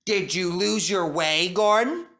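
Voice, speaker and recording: A man's voice: high-pitched, slow, bright, forceful tone, voice pushed up, heavily acted. A man voicing an eager, cheeky little male steam engine in a children's story, with a perky manner. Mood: teasing. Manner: perky, teasing or eager to please.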